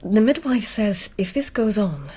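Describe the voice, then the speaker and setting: A woman playing a sensible, caring companion to a court lady in a radio drama, earnest and gently firm. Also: medium pitch, big pitch swings, a little slow, husky. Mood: concerned.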